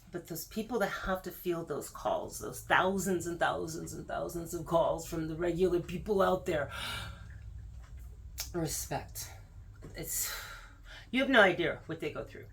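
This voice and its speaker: A woman speaking as a video host, giving casual, emphatic advice straight to the viewer and repeating words for stress. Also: medium pitch.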